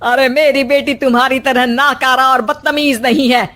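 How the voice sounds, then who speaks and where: A woman's voice: high-pitched, fairly even intonation, normal speed, a bit bright, faint music behind. A woman voicing a melodramatic, scolding older mother-in-law in a cartoon story. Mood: indignant. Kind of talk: Hindi cartoon story dubbing.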